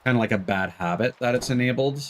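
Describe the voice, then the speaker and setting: A man's voice: mid-range voice, fast, a little hiss or hum. A man doing casual live game commentary, rambling candidly and swearing offhandedly. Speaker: game streamer. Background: game music.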